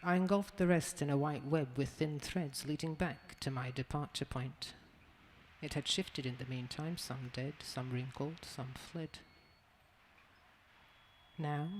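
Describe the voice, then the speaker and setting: Man giving measured formal narration. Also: a little high, slight background noise. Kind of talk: scripted art-film narration.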